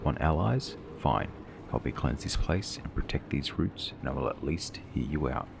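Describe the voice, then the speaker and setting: A man's voice: very deep voice, lively intonation, normal speed, vocal fry, noisy background. A man narrating a gaming video with a scripted, dramatic reading of quest text. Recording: voice-over with game music behind.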